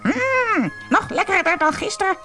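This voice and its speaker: Storybook raven played by a woman: male, greedy, cheeky, squawking, high-pitched and very animated. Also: normal speed, soft background music, exaggerated voice. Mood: greedy, delighted. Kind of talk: storybook character voice.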